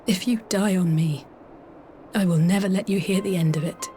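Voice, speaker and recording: Woman voicing a sharp-tongued young noblewoman. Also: lowish voice, fairly even intonation, normal speed, lightly acted. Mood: worried scolding. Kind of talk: scripted fantasy game cutscene acting. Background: game music.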